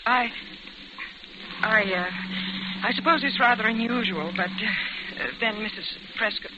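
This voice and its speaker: An actress playing a nervous, troubled young woman passenger in 1940s radio suspense style, with halting, dramatic delivery. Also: fairly high pitch, very noisy, natural voice. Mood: uneasy, halting. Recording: muffled old radio broadcast, loud music behind.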